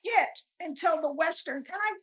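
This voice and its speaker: An older woman with an extremely high voice. She talks as a guest author in a casual interview, rambling along in a self-deprecating, chuckly way. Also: normal speed, a bit bright.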